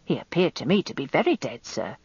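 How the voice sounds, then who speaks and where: A woman's voice: extremely low pitch, sing-song, a little fast, darkish tone, breathy edge, no echo, dull audio, heavily acted. Woman voicing weaselly, apologetic British handyman. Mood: deferential. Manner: apologetic, deferential, hesitant. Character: short, weaselly, apologetic servile handyman witness.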